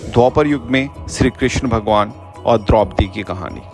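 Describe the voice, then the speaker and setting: A man's voice: on the low side, normal speed, close to an everyday voice. A man playing a father who tells children's mythology stories in a simple, explanatory manner. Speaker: storyteller. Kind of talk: storytelling for children. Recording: music behind the voice.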